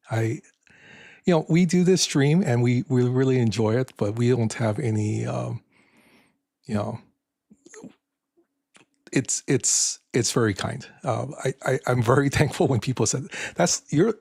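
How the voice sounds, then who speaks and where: An older man with a raspy voice hosting a live stream in casual chat, soft-spoken and halting with many fillers. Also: medium pitch, no echo, studio quality.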